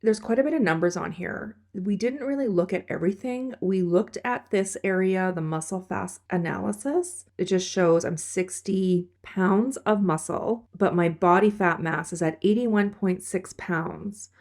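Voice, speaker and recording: A woman's voice: mid-range voice, a little slow, hardly any pauses, a little mellow. A woman in her thirties vlogging casually, nasal and stuffed up from a head cold. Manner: chatty, rambling explanations. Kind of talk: casual vlog talk.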